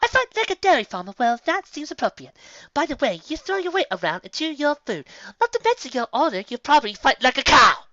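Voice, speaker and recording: A refined lady unicorn, acted out by a story narrator, high and lilting, with prim mock politeness and cool, haughty wit. The sound is close and slightly dull. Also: sing-song, fast, bright, forceful tone, no room sound, exaggerated voice. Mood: cool disdain. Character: refined, haughty female unicorn, coolly witty. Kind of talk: fan fiction story character voice.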